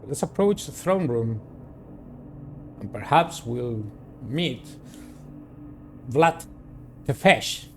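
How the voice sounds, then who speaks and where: A man's voice: medium pitch, animated, very slow, pauses often, a little hiss or hum. A man reading a visual novel aloud as a playthrough, with dramatic, theatrical storytelling. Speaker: game player reading aloud.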